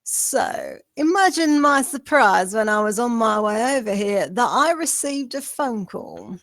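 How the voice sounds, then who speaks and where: A sneering river rat crime boss, played by a woman, with a fairly high voice. The talk is slangy and taunting, full of menace, in a very dry recording. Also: expressive, unhurried, a bit bright, no room sound. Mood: mocking menace. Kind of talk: table-read character acting.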